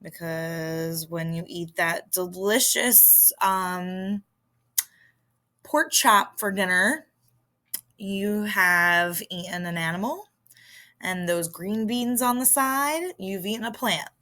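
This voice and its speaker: A woman teacher with a Philadelphia accent explains vocabulary in an informal recorded lesson. Her voice is bright and forward, and she takes her time, addressing students in a conversational way. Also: medium pitch, slow pace. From Philadelphia area, United States.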